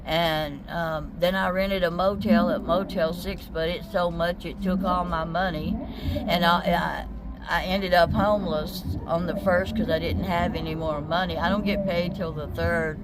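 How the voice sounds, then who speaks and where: A woman's voice: fairly low pitch, fairly even intonation, a little slow. A woman in her seventies from Texas, telling her story in a casual street interview, rambling and plainspoken with many restarts. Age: 70s. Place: outdoors by a busy road. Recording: loud traffic noise outdoors.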